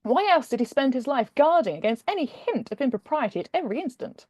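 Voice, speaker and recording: A British woman speaking as a guest author, conversational and expressive as she introduces and reads fiction. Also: high voice, fast, no room sound, clean studio sound. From Britain.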